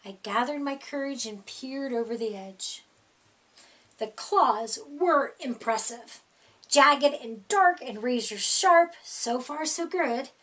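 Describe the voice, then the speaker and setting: A woman reading a picture book aloud as expressive children's story narration. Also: high-pitched, slow, recorded from a distance. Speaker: storybook reader.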